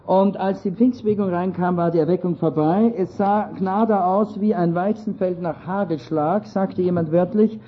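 A man's voice: high-pitched, steady tone, normal speed, few pauses, slight background noise. A man with an Austrian accent giving an earnest, emphatic lecture-style sermon. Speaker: speaker. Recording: dull lo-fi recording.

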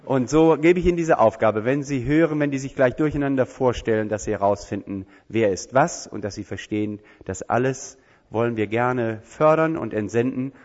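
A man's voice: mid-range voice, moderate pace, darkish tone. A man leading a church service, earnestly introducing missionaries to the congregation. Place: church hall. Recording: echoey church hall, dull lo-fi sound.

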